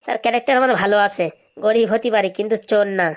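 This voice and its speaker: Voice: mid-range voice, steady tone, rapid-fire, close to an everyday voice. A performer voicing a brazen, glib schoolboy in a comedy cartoon. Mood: pleading, boastful. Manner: glib, answers back with absurd confidence. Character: cheeky, brazen schoolboy with buck teeth.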